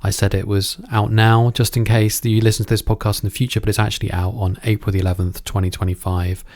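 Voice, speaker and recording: A man with a fairly deep voice presents and interviews for a podcast. He speaks informally, feeling his way through phrases, and the sound is dry with little room around it. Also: little pitch movement, fast, a little mellow, dry recording. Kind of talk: conversational podcast intro and interviewing.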